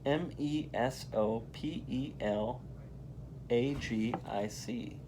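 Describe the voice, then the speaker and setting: A man reading a children's picture book aloud as a volunteer, in an expressive, emphatic way. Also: mid-range voice, slow, background noise. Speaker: volunteer read-aloud reader.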